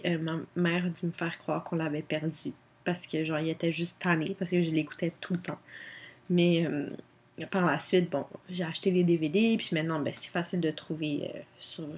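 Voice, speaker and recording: Young woman, Québécois accent, rambling casual vlog talk, slightly low voice, webcam audio. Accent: Québécois French. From Quebec. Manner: chatty, many fillers of "genre" and "comme". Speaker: vlogger. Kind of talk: casual webcam chat, answering a tag.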